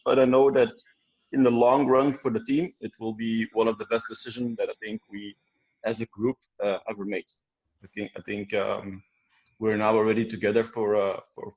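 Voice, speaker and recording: A man talking about his team on a video call, hesitant and full of fillers. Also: fairly low pitch. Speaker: founding team member. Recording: dull lo-fi call audio.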